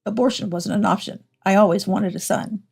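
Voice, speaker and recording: A woman's voice: medium pitch, steady tone, a little fast, gravelly, a little mellow, slightly rough. A woman voicing an evasive, self-justifying cheating husband in a dramatized story.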